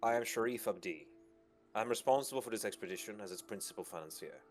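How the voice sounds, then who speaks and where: A man's voice: fairly low pitch, expressive, fast, voice pushed up, lightly acted. Man voicing confident male expedition leader, formal, authoritative, visual novel character, faint background music. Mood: formal, assured.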